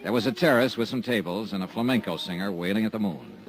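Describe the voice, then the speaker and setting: An actor playing a wisecracking insurance investigator in a 1950s radio drama, talking dry and laconic. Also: male voice, fairly low pitch, expressive, fast, a crowd in the background, low-quality recording. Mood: narrating. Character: wry tough male private insurance investigator. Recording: old radio recording with music.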